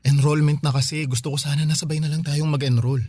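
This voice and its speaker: An actor playing an earnest, worried young student boyfriend in a Filipino radio drama, pleading and hesitant with a Filipino accent. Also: male voice, a little high, flat delivery, quick pace, darkish tone, breathy edge. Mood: concerned.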